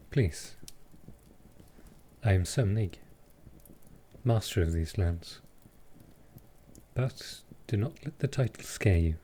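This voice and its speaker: A man voicing a gentle, courtly vampire lord in a roleplay audio drama, speaking softly and formally with halting care. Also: low-pitched, a little hiss or hum. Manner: soft, slow, formal, halting. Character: gentle old-world male vampire lord, protective and courtly.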